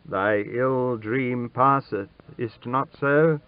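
Man acting stately old king, slow and tender. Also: fairly high pitch, animated, dry recording, narrow, tinny audio, voice pushed up. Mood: soothing. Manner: archaic, stately, tender speech. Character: stern old ailing king turned gentle, grieving father.

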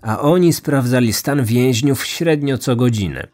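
A man's voice: medium pitch, unhurried, a little mellow. A man narrating a scripted true crime story as a podcast host. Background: background music.

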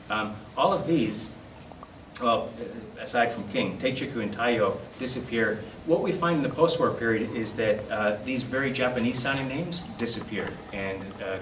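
Low-voiced man lecturing from far off. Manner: hesitant, halting delivery with self-deprecating asides. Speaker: presenter at a collectors' society. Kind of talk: informal slide-show lecture. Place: classroom, indoors. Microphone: camera across the room. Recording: distant mic, echoey room, loud background noise.

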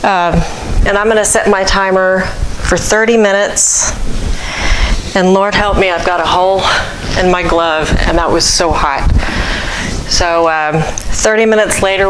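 A woman giving a casual hands-on tutorial, thinking aloud in an unhurried way as she works. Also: mid-range voice. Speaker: craft vlogger demonstrating fleece washing. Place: home kitchen. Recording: clear background noise, household ambience.

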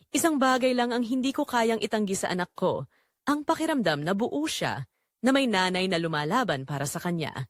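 A dry, close recording carries a woman acting as a poor, devoted mother in an audio drama. Her medium-pitched voice moves expressively up and down. She narrates in measured, earnest phrases, confiding like someone weighed down by guilt. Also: dry recording, lightly acted. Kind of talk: scripted dramatic first-person narration.

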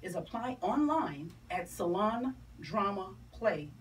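A woman's voice: medium pitch, lively intonation, slow. A middle-aged woman, chatting enthusiastically and emphatically as a guest in a promotional radio interview. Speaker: interview guest, entertainer and stage play producer. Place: small radio studio, camera across room. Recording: distant mic, noisy room ambience.

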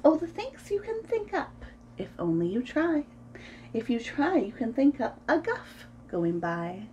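A woman in her thirties reads to small children in a high, sing-song voice. Her pitch swoops up and down expressively, and her tone stays soft-edged and mellow. She sounds like the host of a preschool story time. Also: big pitch swings, measured pace, highs cut off, slight background noise. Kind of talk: picture-book read-aloud for preschoolers. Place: small room at home, indoors.

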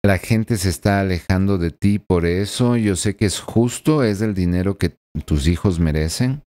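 Low, mellow-voiced man reading tarot. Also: normal speed. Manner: earnest, addresses the listener directly with frequent tag questions. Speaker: tarot reader. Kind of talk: weekly horoscope tarot reading. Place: small home room with blinds and plants. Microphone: desk microphone in front of him.

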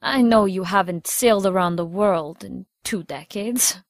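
Woman acting as self-assured operations chief, teasing, playful, persuasive, mid-pitched, audio drama. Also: measured pace, no echo, studio quality, lightly acted. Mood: playful, coaxing. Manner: playful, coaxing, sly. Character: confident, teasing, flirtatious female chief of operations, ex-intelligence agent.

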